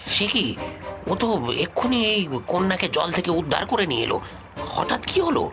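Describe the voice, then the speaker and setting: A man voicing a loyal royal minister in a cartoon, deferential and expressive, with a creaky voice. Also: high-pitched, normal speed, over music, full character voice. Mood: surprised. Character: loyal, attentive male royal minister advising his king. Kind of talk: dramatic cartoon dubbing.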